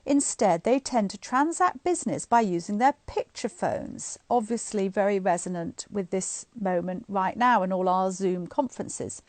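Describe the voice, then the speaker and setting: A middle-aged woman reading a book aloud to online listeners in an expressive, informal, chatty way. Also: mid-range voice, normal speed, hardly any pauses, no room sound. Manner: informal, chatty asides between expressive read passages. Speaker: guest reader of a bedtime story series. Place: indoors at home, on a live online stream.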